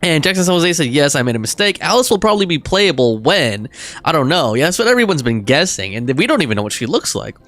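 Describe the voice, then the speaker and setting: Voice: mid-range voice, sing-song, fast, fairly bright tone. A game streamer giving casual live commentary and chatting with viewers in laid-back, slangy phrasing.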